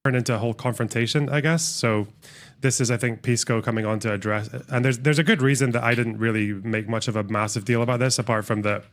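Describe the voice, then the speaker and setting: Casting: male commentator, middle pitch, informal, halting and rambling. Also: fairly even intonation. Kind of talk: casual commentary in a played video.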